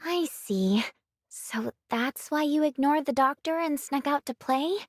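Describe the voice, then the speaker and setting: A woman voicing a gentle, composed young woman in an anime game, a kindly companion with an unhurried, reassuring manner. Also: high voice. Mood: gently knowing.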